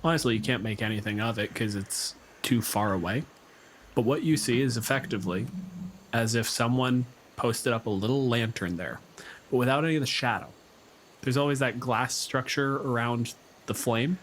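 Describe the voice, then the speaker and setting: A man runs a tabletop game in an average-pitched voice. He describes things casually and freely, and faint noise sits behind him. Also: normal speed, poor audio. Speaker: game master. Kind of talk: narrating an unscripted tabletop game.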